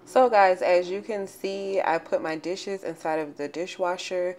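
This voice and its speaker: A woman's voice: lowish voice, fairly even intonation, a little slow, darkish tone, soft background music. A woman in her thirties from Florida, narrating her cleaning routine in a chatty, rambling voice-over with fillers and asides to viewers. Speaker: cleaning vlogger.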